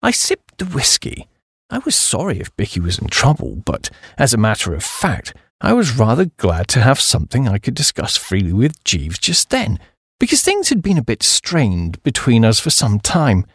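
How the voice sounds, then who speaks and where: A man voicing a flighty, excitable young upper-class English gentleman in comic audiobook narration, with breezy, slangy, exclamatory delivery. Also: medium pitch, slightly rough, dry recording, clean studio sound, heavily acted. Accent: British upper-class. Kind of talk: first-person comic audiobook narration in character.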